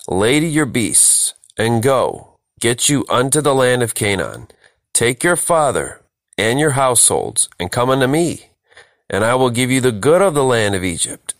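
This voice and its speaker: A man's voice: on the low side, dry recording. A man leading a verse-by-verse Bible study, reading scripture and explaining it emphatically, repeating phrases for stress. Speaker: Bible teacher, host.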